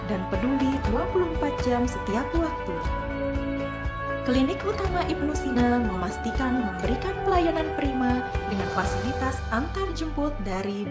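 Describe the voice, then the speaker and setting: A woman reading scripted promotional narration as a voice-over announcer, in an upbeat advertising delivery. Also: high voice. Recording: echoey voice-over over loud music. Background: loud music.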